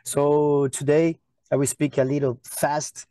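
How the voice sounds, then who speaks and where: A man in his thirties with a Brazilian accent and Portuguese as his first language gives a webinar talk. His voice is a little high and soft-edged, with a close, dry sound. His English is simple and not quite fluent. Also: flat delivery, measured pace, clean tone, dry recording. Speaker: agronomist presenter. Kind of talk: webinar slide presentation. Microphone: video call.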